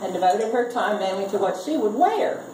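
A woman reads a humorous script aloud in an amused way, pausing deliberately between phrases. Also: mid-range voice, animated. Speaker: family reunion speaker reading a comic Mad Lib aloud. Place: garage full of seated relatives. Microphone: camera a few meters away. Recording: distant camera mic, echoey garage, loud noise.

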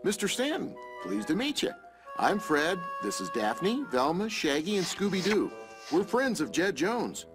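Upbeat young take-charge hero in a cartoon game, animated, loud music under him. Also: male voice, sits high, measured pace. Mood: friendly. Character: confident, upbeat young man leading mystery-solving gang.

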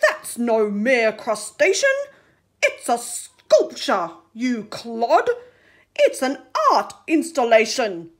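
Woman doing a loud, lofty storybook shark, indignant. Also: a little high, big pitch swings, slow pace. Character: haughty arty male shark.

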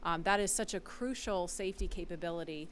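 Lower-pitched woman hosting a launch broadcast. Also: brisk, a bit bright, a little hiss or hum. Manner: smooth, handing over to the next segment.

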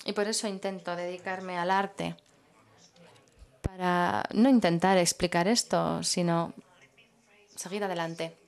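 A woman working as a simultaneous interpreter at a public talk. She speaks in measured phrases and pauses while she searches for the next word. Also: on the low side, fairly even intonation, gravelly, darkish tone, smooth.

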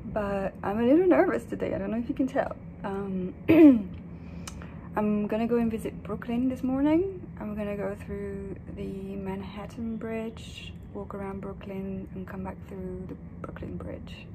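A young woman talks casually into a phone camera in a hotel room. Her voice is soft, thoughtful and a little hesitant, with a gravelly fry at the ends of phrases. A steady hiss of room noise sits behind her. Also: medium pitch, measured pace. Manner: soft, reflective, hesitant with fillers. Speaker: vlogger. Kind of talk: casual vlog talk to camera.

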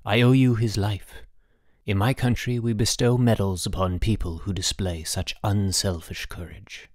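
Dignified ambassador for an audiobook, courteous, measured, close dry sound, performed by a man. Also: on the low side, fairly even intonation, no room sound, natural voice. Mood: solemn gratitude. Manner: formal, measured. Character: dignified, grateful, courtly foreign ambassador father. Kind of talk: audiobook character voice.

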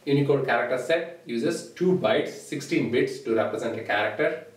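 A man's voice: mid-range voice, normal speed. Man teaching in an echoey room. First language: Telugu. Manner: steady, explanatory, points at the board. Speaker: programming instructor. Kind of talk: whiteboard tutorial lecture. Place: small indoor room at a whiteboard. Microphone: camera mic at a distance. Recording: roomy echo, voice from a distance.

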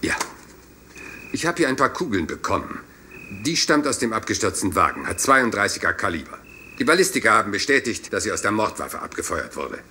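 Casting: rumpled, apologetic detective inspector, low voice swinging widely, dogged courtesy, crime radio play. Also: male voice, big pitch swings, brisk, bright, forceful tone, a little hiss or hum, heavily acted. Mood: methodical. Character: rumpled, polite, dogged male police inspector.

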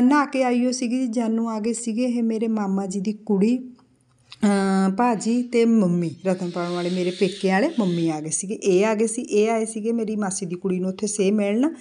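Punjabi lady in her 40s chatting to her phone at home, mellow warm voice. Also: fairly high pitch. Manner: chatty, with frequent tag questions. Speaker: vlogger. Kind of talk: casual chat to camera. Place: home, indoors. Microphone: phone held at arm's length.

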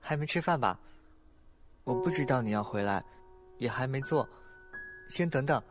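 A man voice-acting a gentle, timid young roommate in a Chinese audio drama, speaking softly and hesitantly. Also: medium pitch, animated, unhurried, music in the background, close to an everyday voice. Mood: flustered, gentle. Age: young adult. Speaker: voice actor.

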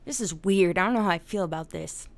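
Woman, podcast host, casual, profane, animated, smooth voice, dry close mic. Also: on the low side, quick pace, dry recording. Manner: animated, swears often, laughs while telling story. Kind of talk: casual live-stream discussion.

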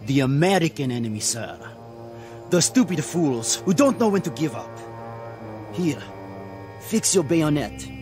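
A man's voice: a little high, very animated, unhurried, pauses often, music in the background. An actor in a radio drama playing a dutiful army sergeant, deferential to his officers and scornful of the enemy. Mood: matter-of-fact, scornful.

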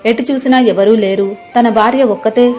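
A woman's voice: a little high, steady tone, normal speed, warm tone. Woman narrating over music. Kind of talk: scripted story narration.

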